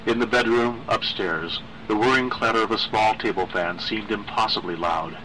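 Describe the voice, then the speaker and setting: A man narrating a literary horror story in a measured, dramatic way. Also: on the low side, noisy background.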